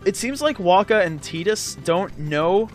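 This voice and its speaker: A man giving casual video game commentary, explaining in a halting, rambling way with sarcastic jokes. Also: high-pitched, animated. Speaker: let's play host and player. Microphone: voice-over commentary recorded over game footage. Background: game music.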